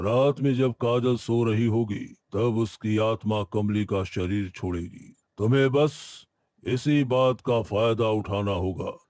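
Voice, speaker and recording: A man voicing an all-knowing holy hermit who gives calm, assured instructions in an animated story. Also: fairly low pitch, dry recording, studio quality. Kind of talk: Hindi animated moral story acting.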